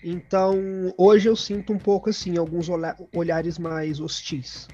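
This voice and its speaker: Man, Brazilian accent, high voice, conversational storytelling, interview guest, muffled lo-fi recording, soft background music. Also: little pitch movement, unhurried. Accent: Brazilian Portuguese. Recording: dull lo-fi audio with music behind.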